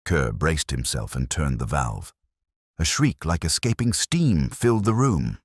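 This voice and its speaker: Very deep-voiced dramatic male narrator. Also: sing-song, unhurried, breathy edge, no echo, clean studio sound. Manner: dramatic, grave, suspenseful. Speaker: story narrator. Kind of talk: scripted first-person mystery narration.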